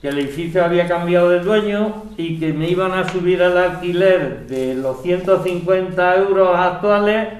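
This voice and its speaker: Un actor con acento castellano que interpreta a un anciano pensionista humilde y resignado, de habla llana, en una ficción sonora. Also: male voice, sits high, moderate pace, hardly any pauses. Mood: resigned. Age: older. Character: Don Emilio, humble old pensioner tenant. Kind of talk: scripted audio drama. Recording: echoey room.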